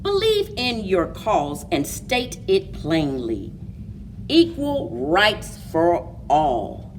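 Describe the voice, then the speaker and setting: A woman hosting a children's story time, speaking in a deliberate, expressive read-aloud manner for young listeners. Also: mid-range voice, distant mic, a little hiss or hum. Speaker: library story time host.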